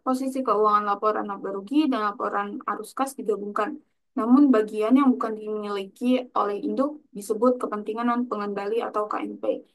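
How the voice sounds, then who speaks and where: A woman's voice: medium pitch, rough recording. A young woman giving a scripted explanatory presentation as a student, in a formal, recited manner. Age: young adult. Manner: formal, recited from prepared text.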